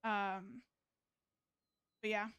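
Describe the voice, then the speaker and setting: A woman's voice: mid-range voice, steady tone, extremely slow, fairly bright tone, clear, resonant tone, no echo, lo-fi sound. A woman game streamer chatting casually in a rambling, hesitant way, close to the mic. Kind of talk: casual livestream chat, reading game dialogue aloud.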